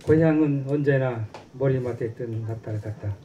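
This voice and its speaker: A middle-aged man recites a poem steadily into a handheld microphone on an outdoor stage. His voice is very dark and mellow. The recording is somewhat muffled, with faint noise behind. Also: medium pitch, moderate pace. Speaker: poetry reader. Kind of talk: poetry recitation.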